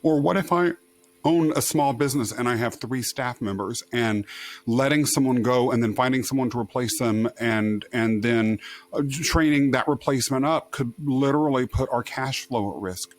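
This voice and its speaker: A man hosting a podcast, asking long, expressive, rambling questions with frequent restarts. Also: mid-range voice, normal speed. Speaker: podcast host. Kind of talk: conversational interview questions.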